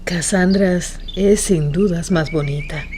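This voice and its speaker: An actress playing a fond, composed mother in a Spanish-language radio drama, speaking in a measured, deliberate way. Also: lowish voice. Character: fond mother discussing her young daughters, composed. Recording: clearly noisy background with birdsong.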